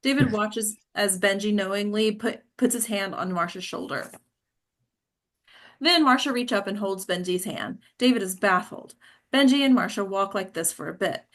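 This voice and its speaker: A woman reads stage directions aloud at a table read. Her voice sits at an average pitch, and the scripted narration has an occasional stumble. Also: moderate pace. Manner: stumbles over words.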